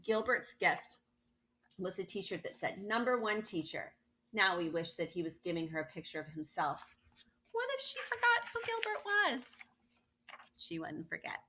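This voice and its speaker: A woman's voice: lowish voice, sing-song, moderate pace, bright, forceful tone, slight background noise. A woman reading a children's picture book aloud expressively, stressing words for young listeners. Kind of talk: expressive read-aloud storytelling with asides.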